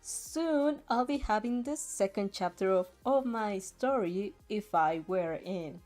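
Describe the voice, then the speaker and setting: A woman's voice: mid-range voice, expressive, slow, a little mellow, faint music behind. Giggly, rambling young woman reading fanfic. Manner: giggly, rambling, easily flustered. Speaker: fanfic reader and streamer. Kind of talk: reaction reading and casual chat.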